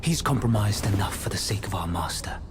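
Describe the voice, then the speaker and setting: An actor playing a calm, secretive envoy of a divine master, speaking in a measured, persuasive way in a game cutscene. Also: male voice, on the low side, noisy background, close to an everyday voice. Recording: music behind the voice.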